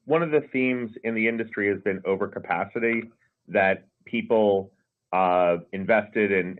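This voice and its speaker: A man's voice: lowish voice, narrow, tinny audio. A man putting unscripted questions as a financial analyst on an earnings call. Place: telephone conference call.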